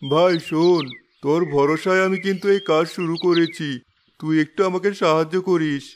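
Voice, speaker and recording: A man voicing a humble, trusting blind village father in an animated moral story, speaking earnestly. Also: a little high, normal speed, slight background noise, lightly acted. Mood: earnest pleading.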